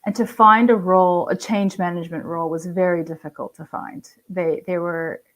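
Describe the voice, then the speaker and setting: A woman who works in change management, answering interview questions in measured, step-by-step explanations over a video call. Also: lowish voice, fairly even intonation. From not from Australia. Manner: measured, walks through history step by step.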